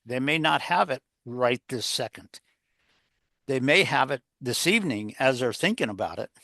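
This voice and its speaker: A man's voice: mid-range voice, expressive, moderate pace, sharp tone, no room sound, studio quality. A man giving conversational advice as an interview guest, rambling, circling back over phrases and posing rhetorical questions. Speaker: interview guest, leadership author and coach.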